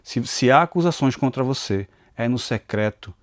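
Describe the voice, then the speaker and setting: A man's voice: medium pitch, lively intonation, normal speed, no room sound, clean studio sound. A man with a mixed Recife and inland Pernambuco accent, giving an earnest, confessional devotional talk as a channel host, recorded on a phone camera. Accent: Recife, Brazil, mixed with inland Pernambuco. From Northeast Brazil. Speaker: Bible teacher and channel host.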